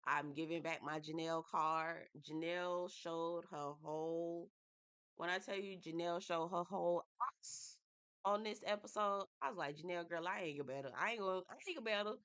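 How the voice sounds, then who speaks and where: A woman with a low voice and a stuffy, congested nose from a head cold. She recaps a reality show for her viewers in a chatty, playful way, full of slang and asides. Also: normal speed, clear, resonant tone. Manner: animated, playful, Southern-inflected slang, addresses viewers as y'all. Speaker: YouTube reality TV reviewer. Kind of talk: casual chatty TV review.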